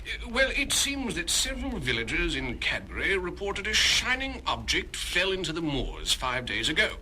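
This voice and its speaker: Fussy British inspector: high-set, piercingly bright, hesitant and proper, audio drama. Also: male voice, wildly swooping pitch, moderate pace, slight background noise, full character voice. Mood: flustered, polite. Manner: stammering, hesitant, prim. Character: flustered, polite Scotland Yard police inspector. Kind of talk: 1970s children's record audio drama.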